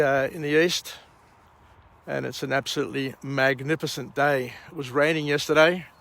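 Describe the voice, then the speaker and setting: Croaky slow-talking Australian man outdoors. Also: mid-range voice, a bit bright. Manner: slow, rambling, frequent uh and um. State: croaky voice after a night of drinking. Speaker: vlog host. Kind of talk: outdoor morning vlog and book summary. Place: outdoors at a vineyard at sunrise.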